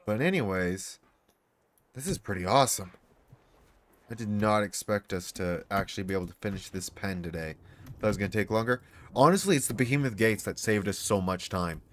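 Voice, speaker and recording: A man with a slightly hoarse, failing voice, casually rambling as he plays and talks to himself and his creatures. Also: on the low side, lively intonation, a little fast. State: losing his voice, sore throat. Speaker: gaming YouTuber. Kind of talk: casual gameplay commentary.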